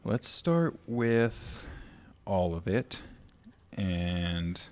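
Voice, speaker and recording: A young man hosting a student podcast, relaxed and playful in casual chat. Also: deep voice, extremely slow. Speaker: podcast host.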